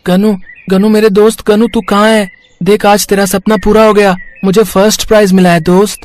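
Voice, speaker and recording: Storybook schoolboy, emotional, heartfelt, voiced by a man, higher voice. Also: flat delivery, moderate pace, close to an everyday voice. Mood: emotional calling. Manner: brash, then heartfelt. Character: schoolboy Vivek, troubled then earnest.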